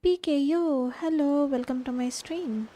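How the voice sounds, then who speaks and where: A woman's voice: high-pitched, measured pace, warm tone, slight background noise. A woman from India chatting casually as she live streams a video game.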